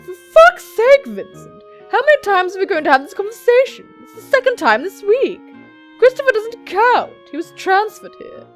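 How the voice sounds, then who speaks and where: A woman's voice: high-pitched, wildly swooping pitch, normal speed, few pauses, faint music behind. A woman voicing an irate, suspicious male boss from a visual novel, scolding and exclaiming indignantly.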